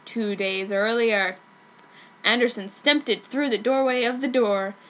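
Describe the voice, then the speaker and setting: A woman's voice: fairly high pitch, fairly even intonation, unhurried, poor audio. A woman giving a mock-serious, exaggerated dramatic reading of comic fan fiction. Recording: noticeable background noise, amateur recording.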